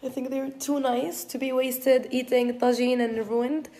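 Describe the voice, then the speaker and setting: A woman's voice: sits high, flat delivery, unhurried. A young woman from northern Morocco, vlogging her travels in a chatty, upbeat way while talking to her phone. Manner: chatty, upbeat, talks straight to camera. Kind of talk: casual travel vlog. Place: busy outdoor markets and streets of an old city. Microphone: phone held at arm's length. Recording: loud street crowd and traffic noise. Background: loud crowd and traffic.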